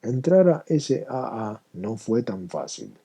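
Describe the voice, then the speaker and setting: A man's voice: medium pitch, very animated, takes it slowly, dark tone, husky. A man reading a personal testimony aloud as scripted narration.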